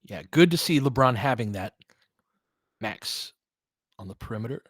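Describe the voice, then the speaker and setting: Man, medium pitch, basketball play-by-play commentator, conversational, opinionated, coaching players aloud, dry echo-free audio. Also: lively intonation, moderate pace, fairly bright tone. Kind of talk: basketball play-by-play with casual analysis.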